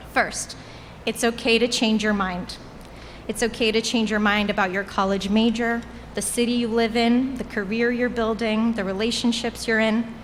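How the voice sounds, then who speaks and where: A woman's voice: medium pitch, fairly bright tone. A woman in her late twenties whose first language is Portuguese, giving an earnest, heartfelt graduation speech from a podium. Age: late 20s. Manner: earnest, heartfelt, measured delivery. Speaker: graduation speaker. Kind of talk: commencement speech. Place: graduation ceremony venue. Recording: reverberant hall with faint ambient noise.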